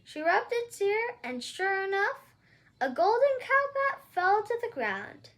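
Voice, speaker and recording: A woman reading a children's story aloud in an expressive storytelling style. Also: very high voice, slow pace. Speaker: storybook reader.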